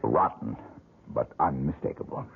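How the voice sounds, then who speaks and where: A man's voice: very deep voice, moderate pace, vocal fry, slightly rough, exaggerated voice. An actor playing a cynical, world-weary male painter in an old-time radio drama, curt, guarded and sardonic. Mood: bitter, resigned. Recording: muffled, narrow vintage radio recording.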